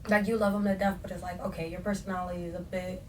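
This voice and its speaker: A woman's voice: lowish voice, little pitch movement, quick pace. A young woman hosting a casual podcast chat, her voice strained and worn, talking in slangy, laughing bursts. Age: young adult. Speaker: podcast host. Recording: distant mic with faint noise.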